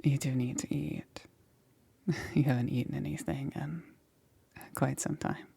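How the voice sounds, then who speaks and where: A woman playing a soft-spoken, coaxing, quietly menacing witch in an ASMR roleplay, often dropping into a breathy, hesitant whisper. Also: deep voice, fairly even intonation, exaggerated voice. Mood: gentle, coaxing. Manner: slow, hesitant, softly cooing.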